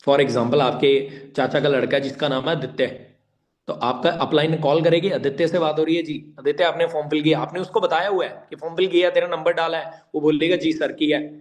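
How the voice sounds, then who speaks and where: A man with a slightly high voice teaches an online meeting informally. He speaks emphatically, repeats key points and puts questions straight to his listeners. English words are mixed into his speech. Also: steady tone, fairly quick. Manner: emphatic and repetitive, addressing listeners directly with rhetorical questions. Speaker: online network-marketing trainer.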